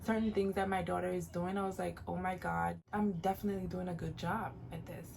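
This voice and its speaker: A woman's voice: on the low side, fairly even intonation, fairly quick, creaky, darkish tone, a little hiss or hum. A woman in her late twenties, originally from Jamaica, giving casual, encouraging advice in a vlog and repeating phrases for emphasis. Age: late 20s. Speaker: vlogger.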